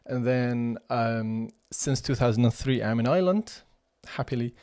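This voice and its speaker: A Kurdish-accented man in his forties talks through his background in a measured, reflective way. He pauses often and restarts phrases. His voice sits at an ordinary pitch in a dry, close-miked room. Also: a little mellow, smooth, no echo. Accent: Iraqi Kurdish. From Kurdistan region of Iraq. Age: 40s. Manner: thoughtful, with many fillers and restarts. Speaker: podcast guest, chief executive of a cultural centre. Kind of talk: conversational interview.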